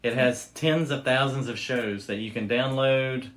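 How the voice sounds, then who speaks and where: Casual male podcast host, medium pitch. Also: fairly even intonation, measured pace, a bit bright. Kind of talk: casual chat.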